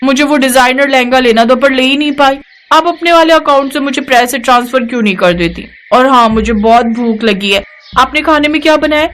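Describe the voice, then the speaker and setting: A woman's voice: high voice, a little fast, a bit bright. A woman voicing a spoiled, whiny, demanding rich young daughter-in-law in a moral story. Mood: entitled, demanding. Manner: whiny, entitled.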